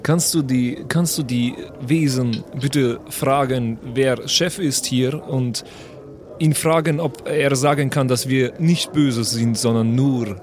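A man's voice: medium pitch, takes it slowly, a little mellow, a little hiss or hum. A man talking casually as a player in an improvised tabletop roleplaying game.

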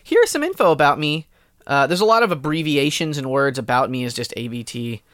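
Male comedy podcast co-host, playful and giggly, very animated pitch, dry close sound. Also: fairly quick, no room sound. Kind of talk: casual comedic chat, reading posts aloud.

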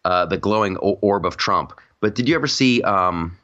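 A man's voice: sits low, lively intonation, moderate pace, pushed and bright, lo-fi sound. A man hosting a podcast, chatting casually and jokily, rambling with frequent restarts. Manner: jokey, rambling, many restarts. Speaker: podcast host. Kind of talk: casual political chat.

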